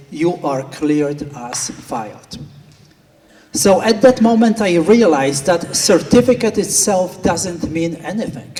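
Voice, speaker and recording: A man's voice: high voice, darkish tone. A middle-aged man with a Polish accent gives an earnest speech at a gala, telling a story with quoted inner voices. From Poland. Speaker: flight instructor giving a speech. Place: large banquet hall. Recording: distant speaker in a reverberant hall.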